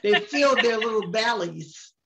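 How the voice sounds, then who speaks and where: An older woman reading a rhyming picture book aloud in a gentle, unhurried way, often chuckling. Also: on the high side, expressive, background noise.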